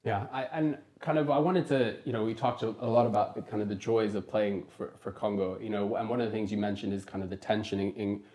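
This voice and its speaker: A man's voice: fairly low pitch, steady tone, fast talker, few pauses, a little mellow. A man from Kenya hosting a podcast, asking long rambling questions full of fillers, recorded on a lapel mic in a small wood-panelled room. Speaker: podcast host. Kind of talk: casual interview.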